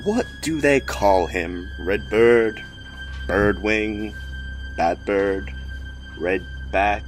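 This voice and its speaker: A man's voice: lowish voice, extremely slow, soft background music. A man playing a weird, smugly menacing city mayor in an audio drama, speaking in a grandiose, speechifying way. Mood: gleeful, probing.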